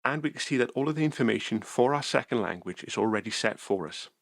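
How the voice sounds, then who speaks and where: A man calmly narrating a software tutorial screencast, explaining each step methodically. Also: medium pitch, quick pace, creaky, dry recording, clean studio sound.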